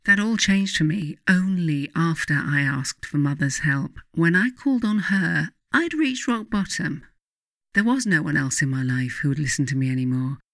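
A woman narrates in a reflective, scripted style suited to an audiobook or guided meditation. Her phrasing is measured and deliberate, broken by frequent pauses. The recording is dry, with little room sound around the voice. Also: low-pitched, lively intonation, vocal fry, fairly bright tone, no echo. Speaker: author reading own book, guided meditation narrator.

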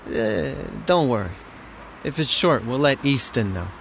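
An actor playing a menacing, mocking debt-collecting thug in a screen drama, taunting and intimidating. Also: male voice, medium pitch, expressive, measured pace, vocal fry, slight background noise. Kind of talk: naturalistic screen drama acting.

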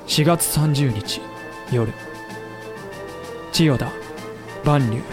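A man narrating a historical audio drama in a solemn, deliberate, dramatic way. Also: mid-range voice.

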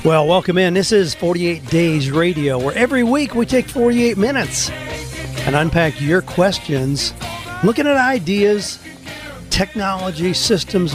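Encouraging man hosting a radio show. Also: fairly high pitch. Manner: earnest, encouraging, conversational. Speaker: radio show host and career coach. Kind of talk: podcast radio monologue.

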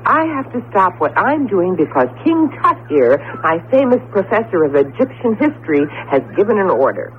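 An actress playing an emphatic, sardonic wife in a 1970s radio drama, with wry, theatrical delivery. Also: female voice, medium pitch, sing-song, moderate pace, few pauses, phone-quality sound, close to an everyday voice. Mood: exasperated. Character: exasperated, sardonic wife of an Egyptology professor. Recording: thin, noisy 1970s radio recording.

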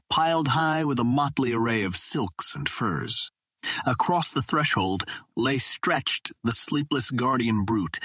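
Male narrator for classic adventure fiction: low-pitched, rough-edged husky voice, measured and formal, very dry close sound. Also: fairly bright tone, no echo. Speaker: audiobook narrator. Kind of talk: scripted narration of a pulp adventure novel.

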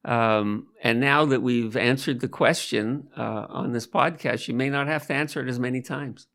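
A man hosting a podcast interview, asking measured, thoughtful questions. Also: mid-range voice, a bit bright. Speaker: podcast host.

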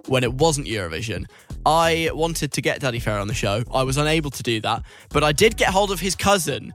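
A man's voice: fairly high pitch, lively intonation, fairly quick, a bit bright, soft background music. A man presenting and interviewing on a radio show in a chatty, informal, casual way.

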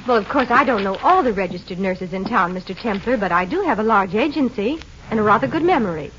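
An actress playing a polite, genteel agency manager in an old radio drama, measured and thoughtful. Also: female voice, medium pitch, expressive, fairly bright tone, lightly acted. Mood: polite, pondering. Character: brisk, polite businesswoman running a nurses' agency. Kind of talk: 1940s-50s radio acting. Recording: muffled, hissy old radio recording.

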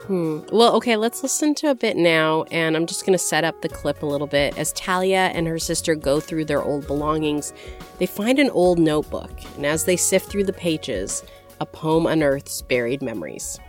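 Female podcast host, lower register, scripted links with some chat, music underneath. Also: animated, moderate pace. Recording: music bed behind voice.

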